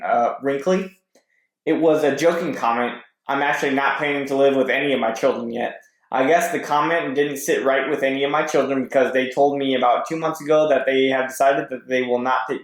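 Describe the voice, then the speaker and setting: A man guesting on a podcast, reading posts aloud and chatting casually with some hesitant stumbles. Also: medium pitch. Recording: roomy echo.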